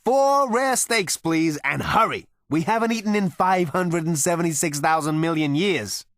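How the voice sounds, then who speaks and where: A man voicing a brash, hungry, impatient space traveller in a storybook reading, loud, dismissive and insistent. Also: a little high, lively intonation, moderate pace, no room sound, clean studio sound, voice pushed up.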